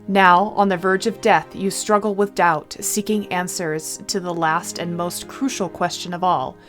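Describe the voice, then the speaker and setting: A woman's voice: lowish voice, fairly even intonation, measured pace, fairly bright tone, faint music behind. A woman doing a let's-play of a visual novel, reading the text aloud expressively and adding casual, chatty comments. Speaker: game streamer.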